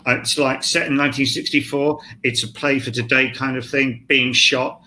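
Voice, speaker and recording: Man, scriptwriter, self-mocking and animated; sharp voice, slight call noise. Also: medium pitch, normal speed, low-quality recording. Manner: animated, swears, acts out his own thoughts. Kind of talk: candid self-deprecating panel chat. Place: online panel, home set-up. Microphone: video call.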